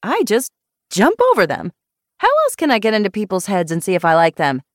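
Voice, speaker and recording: A woman's voice: fairly high pitch, sing-song, fairly quick, no room sound, studio quality, voice pushed up. A woman voicing an outrageous, blunt, bubbly woman doctor in a novel, playful and emphatic. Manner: playful, emphatic, mock-dramatic.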